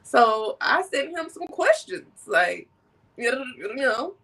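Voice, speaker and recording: A woman's voice: high voice, big pitch swings, slow, gravelly, bright, forceful tone, far from the mic, rough recording. A woman hosting a casual conversation, a little nasal from a cold and laughing often. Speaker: podcast host.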